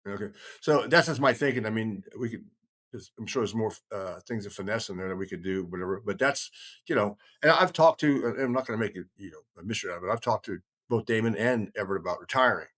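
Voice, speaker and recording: A man's voice: on the low side, fast, a bit bright. A middle-aged man in a casual, rambling group discussion, speaking in an earnest, blunt, folksy way. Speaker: podcast host.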